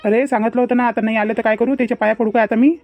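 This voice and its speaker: A woman voicing an excitable village youth in a cartoon comedy, full of excuses and bluster, with a Varhadi Marathi accent. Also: fairly high pitch, very fast, lo-fi sound, lightly acted. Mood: flustered. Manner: evasive, blustering.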